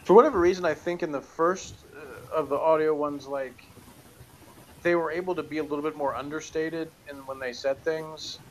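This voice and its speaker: A man's voice: fairly high pitch, steady tone, normal speed, slight background noise, rough recording. A man chatting casually at his home desk, wearing headphones, reviewing an audio drama in a rambling, self-deprecating way with lots of restarts. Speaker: show co-host. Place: home room with bookshelves, indoors. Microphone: headphones on, desk camera.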